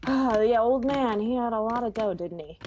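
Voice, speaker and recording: A woman acting a brisk, grumbling male homicide captain in a radio detective drama, with terse, businesslike questioning. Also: on the high side, noisy background, natural voice.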